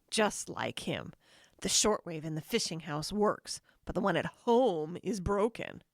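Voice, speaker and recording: A woman's voice: on the low side, big pitch swings, slow, no room sound, clean studio sound, full character voice. A woman playing an insistent, sly wife in a comedic audio drama. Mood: exasperated. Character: bossy, sly wife of a travel agent.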